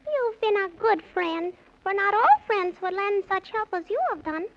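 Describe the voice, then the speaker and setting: A woman voicing a small, eager, excitable male squirrel with a squeaky voice in a rhyming 1930s children's radio play. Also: moderate pace. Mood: grateful. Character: small eager cheerful male squirrel, good-natured, scatterbrained. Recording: muffled, faintly noisy 1930s radio recording.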